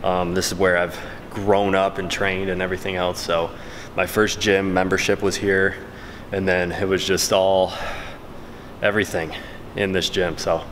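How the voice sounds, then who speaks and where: Man in his thirties, low voice, coaching between sets, long gym reverb, faint hum behind. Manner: relaxed, talking between sets with fillers. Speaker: fitness vlogger and trainer. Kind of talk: casual workout instruction to camera. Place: large indoor gym. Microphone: camera-mounted mic, camera on a stand.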